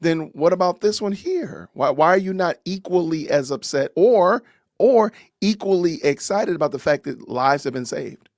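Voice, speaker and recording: A man's voice: a little high, normal speed, no echo, clean studio sound. A man hosting a casual live-stream podcast, ranting with rising emphasis. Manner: animated, preachy rants with rising emphasis. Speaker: podcast host.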